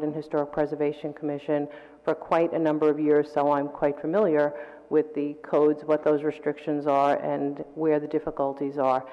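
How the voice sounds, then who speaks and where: Low flat-voiced woman with a sore throat. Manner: measured, deliberate, with fillers and throat clearing. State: sore throat, losing her voice. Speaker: council member. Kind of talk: council meeting report. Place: council chamber. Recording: dull reverberant council chamber audio.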